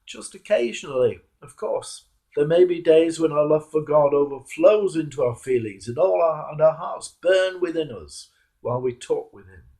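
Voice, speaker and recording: A British man, a clergyman, reading a devotional reflection in a measured, emphatic way with a gravelly, husky voice. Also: fairly high pitch, animated, mellow. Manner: measured, emphatic, repeats key phrases for weight. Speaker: Anglican clergyman. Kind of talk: devotional sermon reflection, read aloud.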